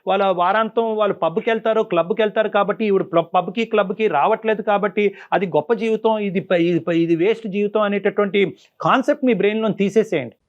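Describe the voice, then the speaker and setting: Fast, high-pitched man counselling. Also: lo-fi sound. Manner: emphatic, repeats words for stress. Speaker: family counsellor. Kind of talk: advice on a counselling talk show.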